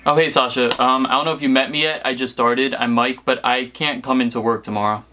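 A man's voice: mid-range voice, steady tone, brisk, sharp tone, slight background noise. A young American man chatting casually and hesitantly to camera and on the phone, peppering his talk with "like". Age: young adult. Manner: hesitant, rambling, heavy use of "like". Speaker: prank-call vlogger.

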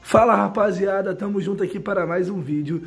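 A young Brazilian man, hoarse from a cold and losing his voice, hosting a casual, slangy gaming news update. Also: sits high, fairly quick. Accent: Brazilian Portuguese. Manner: slangy, chatty, addresses viewers as bro. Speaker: gaming channel host. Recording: faint music behind.

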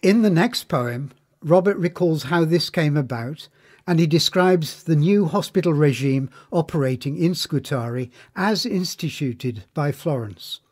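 A man narrating a scripted biography in a measured, formal manner. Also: on the high side, expressive, darkish tone.

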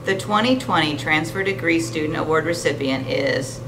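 A woman's voice: deep voice, moderate pace. A middle-aged woman reads a formal scripted announcement as an award presenter. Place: indoor room at a podium. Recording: clear background hum.

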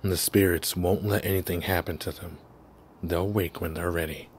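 A man playing a calm, terse male kitsune in an audio roleplay drama, with measured delivery. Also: low-pitched, slight background noise, lightly acted. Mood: calm, concerned.

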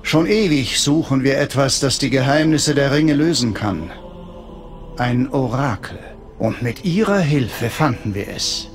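Man playing an imperious alien high priest. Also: unhurried, soft background music, lightly acted. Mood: solemn. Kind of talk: cinematic video game acting.

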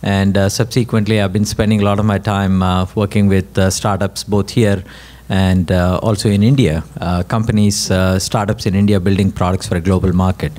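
A man's voice: low-pitched, flat delivery, normal speed, darkish tone. A man in his fifties, an entrepreneur speaking steadily with many uhs on a conference panel. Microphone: stand mic.

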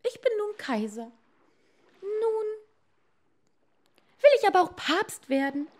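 A woman puts on a haughty fairy-tale empress, pitched sharply high. She is greedy and imperious, drawing out her impatient commands to her husband. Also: very animated, takes it slowly, a little mellow, heavily acted. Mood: imperious, demanding. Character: domineering, greedy fisherman's wife turned haughty empress.

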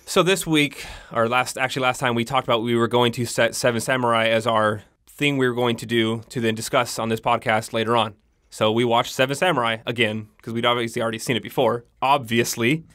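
A man's voice: mid-range voice, quick pace, a bit bright, no room sound, clean studio sound. A man in his twenties co-hosting a casual podcast, sounding tired and teasing his partner. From California. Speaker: podcast co-host.

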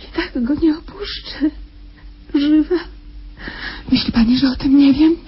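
An actress playing a desperate captive wife in a radio drama, imploring and halting as she pleads to be believed. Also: sits high. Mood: anxious, insistent. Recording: dull, noisy old radio drama recording.

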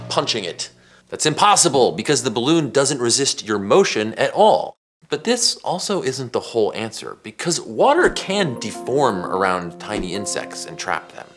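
A man's voice: fairly low pitch, a bit bright. An American man in his forties hosting a science explainer, talking to camera in a casual, emphatic, conversational way. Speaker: science show host. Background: background music.

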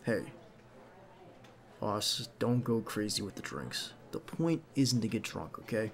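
A man playing a nervous, devoted bodyguard on a date in an intimate ASMR roleplay, speaking softly and hesitantly with some gentle teasing. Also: lowish voice, very animated, slow pace, heavily acted. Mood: casual, attentive. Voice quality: creaky. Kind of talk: ASMR roleplay acting, intimate one-sided dialogue.

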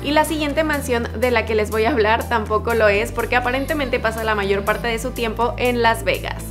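A young woman presents in an upbeat, chatty way, speaking straight to her viewers. Her voice sits a little high. Music plays clearly beneath her studio voice-over. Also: fairly quick. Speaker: host. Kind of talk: scripted celebrity video presentation.

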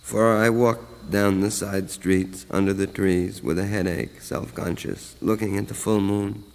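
A man reciting a poem as a scripted voice-over, in a measured, contemplative manner. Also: on the low side, steady tone, creaky, darkish tone, rough recording. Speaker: poem narrator. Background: music.

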